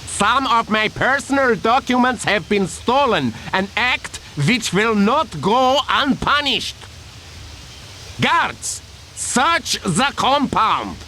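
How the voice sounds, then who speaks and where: An actor playing a haughty German general in a video game, formal and threatening, with a German accent. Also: male voice, very high voice, very animated, bright, forceful tone, slight background noise, exaggerated voice, poor audio. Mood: cold menace. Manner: formal, slow, threatening, commanding. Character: haughty menacing German general addressing prisoners. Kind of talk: video game cutscene acting.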